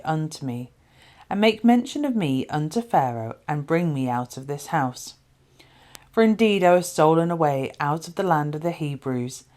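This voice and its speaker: A woman reading the part of a humble, earnest young male prisoner who interprets dreams, solemn and measured, in a scripture story. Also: low voice, darkish tone.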